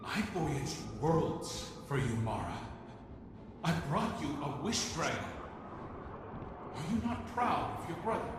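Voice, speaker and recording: A man playing a proud, devoted young prince in a video game cutscene, theatrical and reverent with drawn-out phrasing. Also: fairly high pitch, big pitch swings. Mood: eager pride. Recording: heavy echo, long reverb, background noise.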